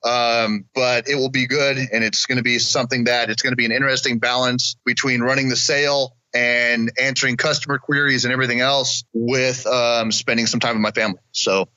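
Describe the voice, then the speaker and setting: A man's voice: mid-range voice, flat delivery, a little fast, few pauses, pushed and bright, no echo. A man from Missouri, a podcast guest, chatting loosely about his products with casual swearing. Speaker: guest, business owner. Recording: dull dry lo-fi sound.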